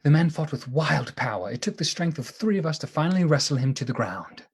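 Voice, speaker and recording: A grave asylum doctor played by an actor, with a husky, animated voice. The entries come out measured and dramatic, like journal narration in a radio play. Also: mid-range voice.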